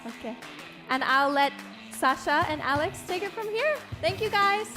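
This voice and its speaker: A woman presenter calls out players with loud, energetic announcer delivery. Her voice is very high. Clear music plays behind her. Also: normal speed. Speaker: event presenter.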